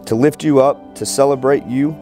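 Groom, male, 30s, mellow delivery of wedding vows, earnest and stumbling, light music behind. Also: medium pitch, fairly even intonation. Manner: halting, earnest, stumbles over words. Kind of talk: reading personal wedding vows aloud. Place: outdoor wedding ceremony in a field. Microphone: handheld microphone held nearby by officiant.

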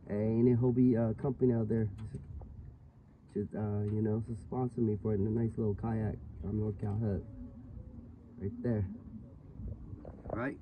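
A man's voice: lowish voice, flat delivery, measured pace, long pauses, dark tone. A man hosting a fishing channel, chatting casually outdoors by a river into a handheld phone, with dropped word endings in his speech. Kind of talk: casual outdoor vlog chat. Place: outdoors at a river, on and near a small boat. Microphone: handheld phone near arm's length. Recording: muffled phone-like audio, outdoor boat noise.

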